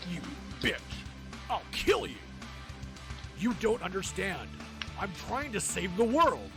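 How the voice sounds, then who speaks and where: A man voicing a suave, menacing, fanatical politician in a visual novel, speaking in a theatrical, measured, pompous way. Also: high voice, big pitch swings, a bit bright, soft background music, heavily acted. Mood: enraged.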